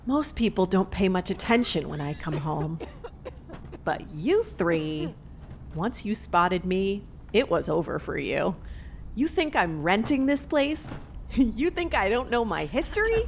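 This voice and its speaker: A young woman played by an actress in an audio drama, feigning innocence while hiding a murderous streak. Her delivery is slow and very expressive in pitch, with some faint noise behind it. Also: gravelly. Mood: cold, menacing. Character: secretly murderous woman feigning innocence among old childhood friends.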